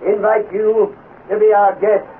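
An actor playing a solemn, formal Prime Minister giving an oratorical tribute in a 1950s radio drama. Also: male voice, high voice, little pitch movement, a little slow, phone-quality sound. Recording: distant, thin, noisy old radio recording.

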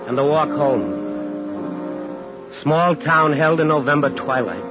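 A defiant small-town man in a 1950s radio thriller. His delivery is clipped, hard-boiled and deliberate. The old broadcast is muffled, with music underneath. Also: a little high, expressive. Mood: bleak, brooding.

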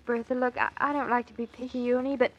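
A woman's voice: high voice, little pitch movement, a little fast, highs cut off. An actress playing an anxious, fussy young newlywed mother with an earnest, hesitant manner, in 1940s radio drama style. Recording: old 1940s radio recording.

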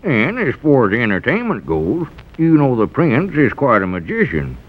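Scheming country squire, 1940s radio comedy, smooth-talking, animated intonation, muffled phone-thin vintage recording. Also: male voice, mid-range voice, moderate pace. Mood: boastful, persuasive. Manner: wheedling, falsely obliging, hemming and hawing.

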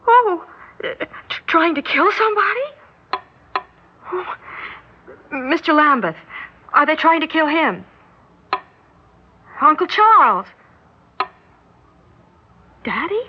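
A woman's voice: very high-pitched, very animated, takes it slowly, pauses often, full character voice. A woman playing a frightened, naive young niece in 1960s American radio drama, stammering and gasping. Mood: frantic, tearful. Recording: dull 1960s radio recording.

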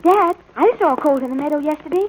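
A performer playing an eager, earnest young ranch boy, respectful and excitable, in a 1940s radio drama. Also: high voice, fast, slight background noise, lightly acted, low-quality recording. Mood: excited.

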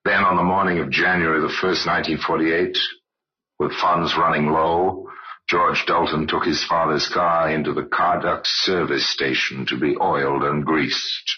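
A man narrating old-time radio crime tales as a grave, sardonic storyteller in a measured, wry, dramatic way. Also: very deep voice, fairly even intonation, breathy edge. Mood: grave, suspenseful. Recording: dull, noisy old radio recording.

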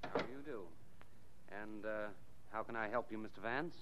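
A man's voice: lowish voice, moderate pace, fairly bright tone, lightly acted. An actor playing a curt, guarded businessman under suspicion in a 1940s radio drama. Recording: dull, lo-fi 1940s radio recording.

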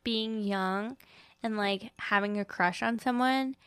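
A woman co-hosting a book podcast, chatting casually in loose, halting asides peppered with filler words. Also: medium pitch, clear, resonant tone, no room sound. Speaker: co-host of a book discussion podcast. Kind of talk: casual, conversational chat.

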